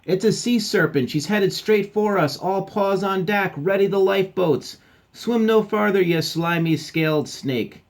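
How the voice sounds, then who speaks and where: A man's voice: high voice, unhurried, voice pushed up. A man voicing a bossy, grumpy dog pirate captain from a storybook, with blustery, exclaiming pirate talk. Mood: blustering, alarmed.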